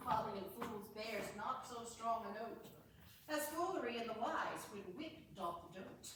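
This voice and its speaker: A woman with a high voice delivers Shakespearean lines in a staged reading. Her pace is unhurried, in the manner of an amateur stage performance. The room rings heavily with echo around her. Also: a bit bright. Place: indoor performance room, recorded from afar. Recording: echoey room, noisy, distant microphone.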